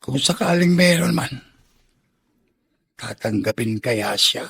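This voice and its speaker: A man's voice: medium pitch, animated, takes it slowly, gravelly, fairly bright tone, slightly rough, exaggerated voice. A male actor playing a wistful, compassionate prisoner in a radio drama monologue, theatrical and emotive, with a Filipino accent. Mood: wistful, worried.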